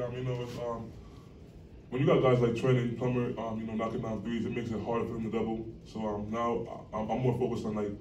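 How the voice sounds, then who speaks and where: A young man, a college basketball player, answering at a postgame press conference in an earnest, rambling way. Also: lowish voice. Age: young adult. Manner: earnest, rambling with many fillers. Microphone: table mic, recorded from across the room. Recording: echoey room, distant mic, steady noise.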